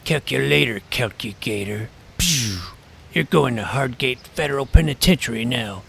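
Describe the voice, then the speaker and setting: An actor playing a nerdy middle-school boy who narrates his own superhero exploits in a dry, self-important, deadpan way. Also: medium pitch, moderate pace, vocal fry, a little hiss or hum. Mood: earnest. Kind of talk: scripted audio drama narration.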